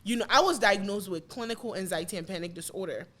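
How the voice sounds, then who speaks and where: A woman's voice: medium pitch, a bit bright. Woman, late 20s, chatty and open, keeps restarting her thoughts. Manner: candid, talks in quick bursts with restarts. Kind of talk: casual discussion.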